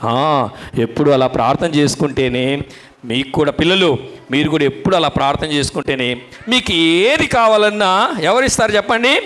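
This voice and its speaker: A man's voice: fairly high pitch, normal speed, a bit bright. A man working as a Sunday school puppet show host, talking to children, prompting them questions and repeating the answers.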